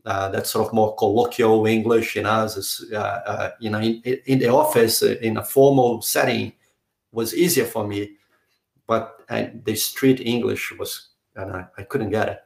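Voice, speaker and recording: A man from Brazil, speaking as an interview guest in a halting way, often stopping to search for words and restart. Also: fairly low pitch, fairly even intonation, vocal fry. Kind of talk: conversational interview. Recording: roomy echo.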